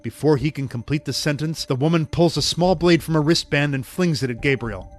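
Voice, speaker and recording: A man's voice: on the high side, fairly quick, soft background music. A man reading dramatic scripted narration as the narrator of an audio drama.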